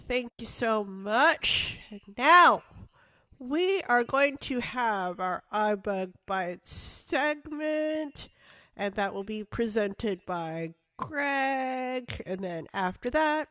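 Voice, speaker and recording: A woman's voice: a little high, expressive, slow, a bit bright, no room sound. A woman co-hosting a casual group video call, talking in a friendly way and laughing easily. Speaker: co-host and facilitator.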